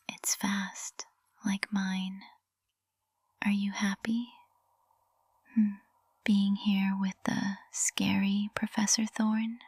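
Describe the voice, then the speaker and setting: A woman's voice: mid-range voice, vocal fry, darkish tone, slightly rough, no room sound, exaggerated voice. A woman playing a lovestruck witch professor in an intimate ASMR roleplay, whispering tenderly and breathily, close to the microphone. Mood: soft adoration. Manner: slow, tender, intimate delivery. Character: lovestruck high witch professor, usually cold and composed, tender.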